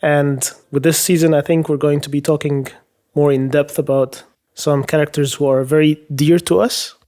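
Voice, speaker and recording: A man from Egypt hosting a podcast round-table, speaking thoughtfully with some fillers and pauses. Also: on the high side, steady tone, a little mellow, soft background music. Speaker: podcast host. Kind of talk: casual round-table chat.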